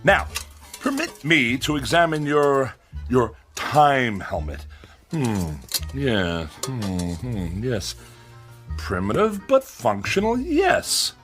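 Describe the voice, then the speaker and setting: Man acting a pompous, animated eccentric tinkerer. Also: mid-range voice, takes it slowly, faint music behind. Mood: musing, fascinated. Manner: formal, pedantic, theatrical. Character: eccentric, fussy, pedantic tech-minded man, pompous and evasive. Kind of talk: comedic radio drama acting.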